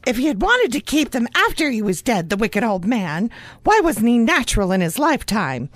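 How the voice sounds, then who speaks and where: A woman's voice: fairly high pitch, sing-song, normal speed, dry recording. An actress playing a brazen, self-serving scavenger woman in an audio drama, talking scornfully.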